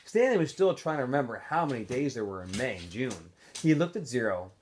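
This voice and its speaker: A man reading a story aloud as a teacher would, in an expressive, animated narrating style. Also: mid-range voice, brisk, a little hiss or hum. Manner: animated, acts out dialogue in shifting voices.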